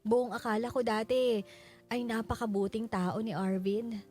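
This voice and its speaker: An actress playing a sorrowful widow, narrating her letter in an earnest, measured way for a radio drama. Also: fairly high pitch, flat delivery, a little mellow, soft background music. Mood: reflective, sorrowful.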